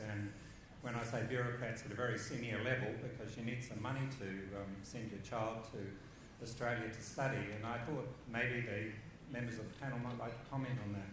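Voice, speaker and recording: A man asks a panel a question in a measured, business-like way. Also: medium pitch, steady tone, very echoey, background noise. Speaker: audience member asking a question.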